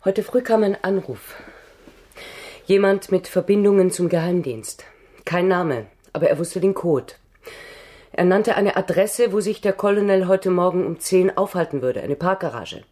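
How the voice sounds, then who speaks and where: A woman acting an anxious but determined woman in a spy radio play. Her voice is low, dark and husky, and she speaks with secretive, pleading urgency. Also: moderate pace, close to an everyday voice. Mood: grave urgency. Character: anxious, determined woman hiding a dangerous past.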